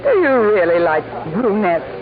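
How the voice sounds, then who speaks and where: A woman's voice: medium pitch, expressive, normal speed, natural voice. An actress playing an infatuated young woman who gushes breathlessly, in a 1940s radio drama. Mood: adoring. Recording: tinny old radio broadcast with music.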